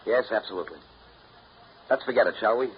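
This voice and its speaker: Late-1930s radio: actor as rejected gentleman suitor, brisk clipped speech, polite but wry, slightly noisy vintage recording. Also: lowish voice, dull audio, close to an everyday voice. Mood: brisk resignation. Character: jilted suitor, stiff, wry and proud. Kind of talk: 1930s American radio drama acting.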